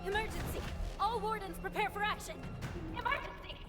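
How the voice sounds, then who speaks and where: Extremely high-pitched prison alarm announcer. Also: female voice, brisk, over loud music. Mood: urgent alarm. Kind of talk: scripted video game alert.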